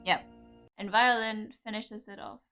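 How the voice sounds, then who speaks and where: A woman's voice: medium pitch, steady tone, unhurried, pushed and bright, faint music behind. A young woman from Cyprus, reacting with enthusiasm to songs as a video host, full of fillers and loving remarks. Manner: gushing, enthusiastic, lots of fillers. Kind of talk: casual music reaction commentary.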